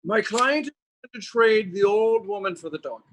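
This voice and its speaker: A man's voice: sits high, moderate pace, slight background noise, close to an everyday voice. A man playing an oily, fussy lawyer for mobsters in an old-time radio drama, mannered, polite and evasively hesitant. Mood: careful.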